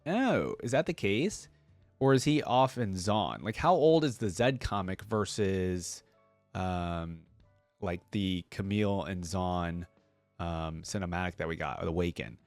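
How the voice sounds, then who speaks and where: A man's voice: low voice, animated, a little slow, dry recording, faint music behind. A man hosting a reaction video, reading aloud and musing casually with interjections.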